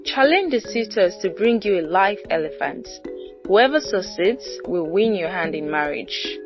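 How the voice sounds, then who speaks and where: A woman voicing an old, wise, confident male tortoise with formal, measured speech in a folktale. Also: medium pitch, lively intonation, highs cut off, music in the background, natural voice. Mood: advising.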